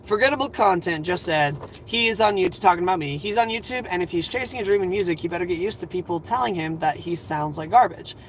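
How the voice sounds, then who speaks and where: A man in his twenties hosting a livestream, giving earnest advice in a rambling, halting way full of fillers, his voice a little scratchy and tired. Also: high voice, animated, a bit bright. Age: 20s. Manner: earnest, reassuring. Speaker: livestream host.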